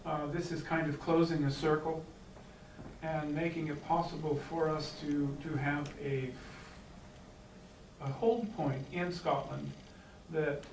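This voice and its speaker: A fairly high-pitched man talks haltingly from the floor of a meeting, with plenty of fillers and restarts. He stands well away from the microphone. The room is echoey, and a faint hiss runs underneath. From United States. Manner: hesitant, many fillers and restarts. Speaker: clan member speaking from the floor. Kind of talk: unscripted remarks at a meeting. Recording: echoey hall, distant mic, faint hiss.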